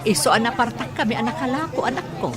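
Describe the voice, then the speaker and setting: A woman's voice: a little high, fast, natural voice. An actress playing a middle-aged mother who sells fish, speaking in a motherly way in a radio drama. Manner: warm, motherly talk. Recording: radio drama with music and crowd.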